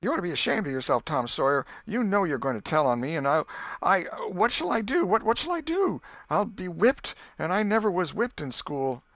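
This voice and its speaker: Man putting on a high, distraught schoolgirl. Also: big pitch swings, brisk, fairly bright tone, dry recording, exaggerated voice. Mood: panicked, upset. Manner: scolding, stammering in panic. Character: distraught, indignant schoolgirl, tearful and spiteful.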